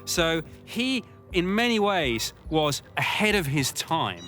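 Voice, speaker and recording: A man's voice: a little high, big pitch swings, slow, sharp tone, soft background music. A man giving emphatic, dramatic commentary as a storyteller in a documentary interview.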